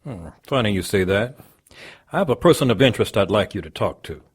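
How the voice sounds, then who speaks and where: A world-weary police lieutenant in an audio drama. His voice is rough and husky, with lively rises and falls. He talks in a brusque, businesslike way. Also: fairly low pitch, fairly quick. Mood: businesslike, impatient.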